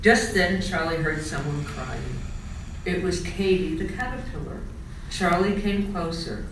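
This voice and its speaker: A woman reading a children's storybook aloud in an expressive, dramatic storytelling style. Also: fairly low pitch, measured pace. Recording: echoey room, distant mic, faint noise.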